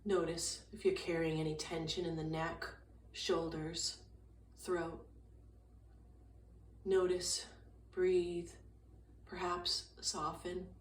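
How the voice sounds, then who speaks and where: A woman guiding a meditation in a calm, gentle, unhurried way, leaving long pauses between short phrases. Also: fairly low pitch. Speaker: meditation guide. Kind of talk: guided meditation, slow soothing instruction. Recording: roomy echo, distant mic, faint noise.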